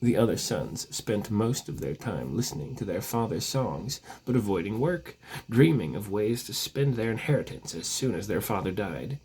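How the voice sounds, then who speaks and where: A man with a husky voice reads a storybook legend aloud as narrator, stretching words with theatrical emphasis. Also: lowish voice, big pitch swings, normal speed, a little mellow, slight background noise.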